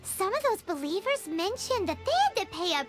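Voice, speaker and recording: Video game fairy companion: very high childlike squeak, wildly animated, perky and chatty, over music. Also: female voice, fairly quick. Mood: indignant. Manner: squeaky, perky, refers to self in third person. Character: small floating fairy companion, childlike, chatty, speaks of herself by name.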